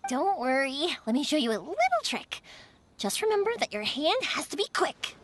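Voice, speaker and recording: A woman's voice: sits high, big pitch swings, moderate pace, a bit bright, slight background noise. An actress playing a confident, friendly young woman in an anime game, giving playful, encouraging instruction.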